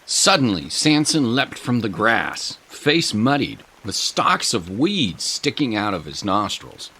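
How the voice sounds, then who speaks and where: A man narrating a scripted audio drama with measured, dramatic storytelling. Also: lowish voice, big pitch swings, sharp tone, husky, low-quality recording. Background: music.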